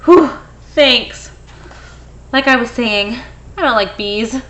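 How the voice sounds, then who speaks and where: A woman's voice: on the high side, takes it slowly, noisy background. A woman voicing a reluctant, wary buddy character from a children's picture book.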